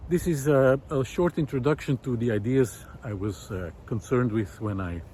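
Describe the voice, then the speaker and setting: A man's voice: medium pitch, dark tone. Musing middle-aged man, noisy outdoor recording. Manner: musing, hesitant, with frequent pauses. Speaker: visual artist and vlog host. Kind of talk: reflective monologue while walking. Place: outdoors, walking in a park. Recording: outdoor ambient noise.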